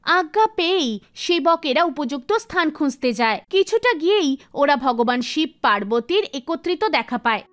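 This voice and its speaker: A woman reading scripted narration for an animated mythological story. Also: sits high, moderate pace. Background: music.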